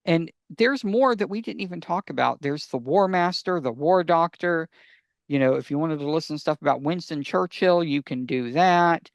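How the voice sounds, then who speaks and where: A man's voice: on the high side, moderate pace, creaky, no room sound. A man co-hosting a podcast in casual talk, eagerly explaining his recommendations and answering his own questions. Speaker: podcast co-host.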